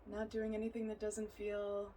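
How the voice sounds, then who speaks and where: A woman teaches a yoga and meditation session with soothing, unhurried phrasing. Her medium-pitched voice stays very even, with little rise or fall. It is an amateur recording with faint noise behind her. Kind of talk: guided yoga and relaxation instruction.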